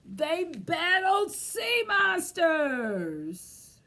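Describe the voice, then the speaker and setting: Slow, high-voiced woman reading to children. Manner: expressive, addressing young children directly. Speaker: children's librarian leading storytime. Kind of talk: children's storytime read-aloud and chat.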